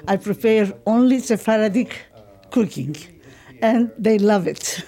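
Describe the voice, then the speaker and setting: Mellow-voiced woman in her seventies. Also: medium pitch, expressive, slow. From Turkey. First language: Ladino. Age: 70s. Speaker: interviewee.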